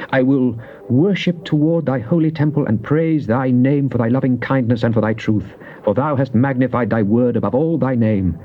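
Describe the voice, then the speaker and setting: An actor playing a fervent psalmist, solemn and theatrical in a dramatized Bible reading. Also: male voice, mid-range voice, close to an everyday voice. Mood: fervent praise. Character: fervent psalmist addressing God in prayer and lament. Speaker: scripture reader. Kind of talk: dramatized Bible reading, British stage delivery. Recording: muffled, noisy old cassette tape.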